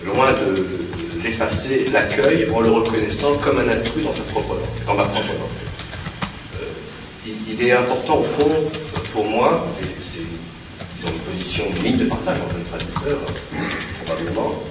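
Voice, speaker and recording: Male translator, hesitant and reflective, low voice, distant mic with music behind. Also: expressive, measured pace, noisy background. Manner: hesitant, many fillers and restarts, thoughtful. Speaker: theatre translator. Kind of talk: reflective spoken talk. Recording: very echoey room, from far off, with music behind.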